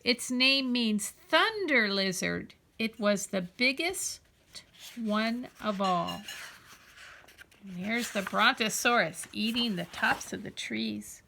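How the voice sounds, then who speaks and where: A woman reading a children's picture book aloud in an expressive, deliberate, emphatic storytelling manner. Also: on the high side, vocal fry, a little hiss or hum.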